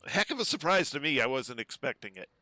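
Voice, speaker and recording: A man's voice: sing-song, fast, sharp tone, no echo. A man, chatting casually while streaming a game, full of ums. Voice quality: relatively low voice. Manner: easygoing, chatty, many ums. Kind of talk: casual gameplay livestream chat. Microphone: desk microphone at home.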